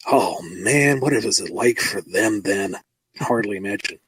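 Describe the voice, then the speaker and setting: Wry, husky man. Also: on the low side, lively intonation, unhurried, a bit bright, no room sound. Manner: wry, acting out quoted exchanges. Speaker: comic book writer. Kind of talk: casual podcast talk.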